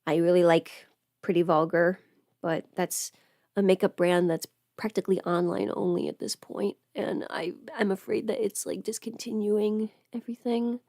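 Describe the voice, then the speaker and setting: A woman's voice: mid-range voice, steady tone, moderate pace, creaky, dark tone, no echo, clean studio sound. A woman livestreamer chatting casually while getting ready, rambling and interrupting herself as she thinks aloud.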